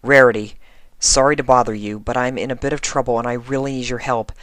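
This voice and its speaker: A man voicing an earnest, apologetic young female pony in a pleading, hurried manner, as if speaking a letter. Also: mid-range voice, steady tone, fairly bright tone, no echo, pitched above the performer's own voice, natural voice.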